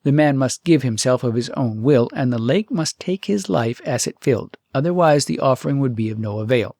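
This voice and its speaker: A man voicing a stern, solemn oracle in a storybook, with grave and deliberate proclamation. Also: mid-range voice, expressive, darkish tone, dry recording, studio quality. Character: solemn, stern oracle inscription read aloud.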